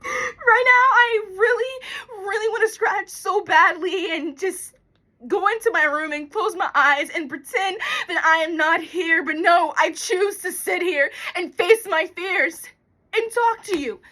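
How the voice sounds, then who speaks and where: A woman performing a theatrical monologue as an anxious, fearful young woman, confiding haltingly with dramatic pauses. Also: medium pitch, a bit bright, slightly rough. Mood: panicked, tearful. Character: anxious young woman confessing a terror of thunderstorms.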